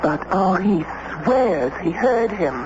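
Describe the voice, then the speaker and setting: Lively, refined diplomat's wife. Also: female voice, mid-range voice, slow pace. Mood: reasoning. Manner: refined, reasoning, gently chiding. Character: composed, persuasive, gently teasing diplomat's wife, adult woman. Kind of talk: old-time radio drama acting. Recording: dull, noisy vintage radio recording.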